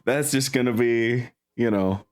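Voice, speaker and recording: A man's voice: mid-range voice, a little slow. A man chatting casually as game master of a tabletop game, rambling and correcting himself as he goes.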